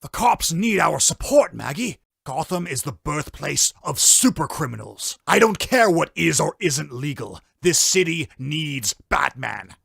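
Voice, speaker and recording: A combative male TV pundit, played by an actor. His voice is high, gravelly and sharp, arguing his opinions in a comic dub. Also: very animated, unhurried, dry recording, studio quality, full character voice. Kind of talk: fan dub voice acting, debate.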